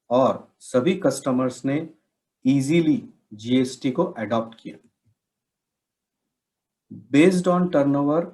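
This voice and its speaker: A man with a medium-pitched voice presents a scripted training session. He speaks slowly and explains in measured phrases, setting out each point in turn. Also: a little mellow, poor audio. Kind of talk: scripted instructional presentation with slides.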